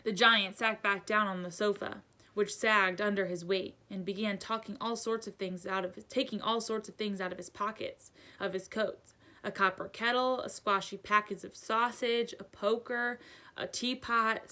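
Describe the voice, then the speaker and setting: A woman reads a children's book aloud in the manner of a teacher. Her narration is expressive, in a bright, forceful voice of middling pitch. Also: moderate pace.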